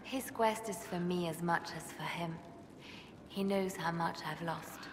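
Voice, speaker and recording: An actress playing a composed, devoted spymaster in a fantasy game, with a measured, restrained delivery. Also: female voice, fairly low pitch, echoey room, in a large hall, background noise, natural voice. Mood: tender, wistful. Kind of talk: scripted fantasy game dialogue.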